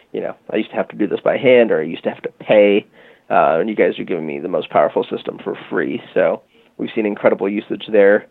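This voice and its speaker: Guest interviewee: lowish gravelly male voice, casual explainer, dry phone-line sound. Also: no echo. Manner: relaxed, frequent fillers like "you know" and "um". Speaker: guest, music marketing tech company founder. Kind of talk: conversational interview, explaining a product.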